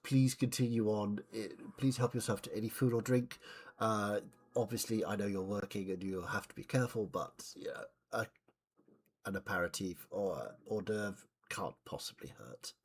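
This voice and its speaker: A man's voice: lowish voice, husky. A man voicing a creepy, overly polite male host who is not quite human, in a tabletop game. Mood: fawning. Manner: overly polite, apologetic, rushed.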